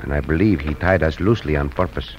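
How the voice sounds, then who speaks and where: An actor playing a bold Mexican cowboy hero with formal phrasing and a Mexican accent in a vintage radio western. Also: male voice, rumbling low, fast talker. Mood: calm, confiding. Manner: formal phrasing without contractions. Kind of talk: 1950s radio western acting. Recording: muffled, noisy old radio recording.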